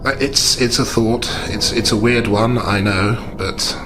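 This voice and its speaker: A man playing a calm, analytical gentleman investigator in a tabletop game, reasoning aloud with deliberate understatement. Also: on the low side, music in the background, lightly acted, poor audio. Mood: measured, grave.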